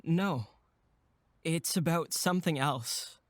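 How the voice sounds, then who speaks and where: A woman voices a young male camp counselor, friendly and easygoing. The voice is low for a woman and recorded dry, with a gentle, peacemaking way of talking suited to audio drama. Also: slow pace, no room sound. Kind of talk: horror audio drama acting.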